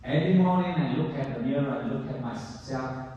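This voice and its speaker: A man in his fifties with a Vietnamese accent, a visiting Buddhist monk giving a gentle, pausing dharma talk to high school students. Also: muffled recording. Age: 50s. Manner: slow, gentle, pausing with many "uh"s. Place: large temple hall. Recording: echoey hall, mic far off.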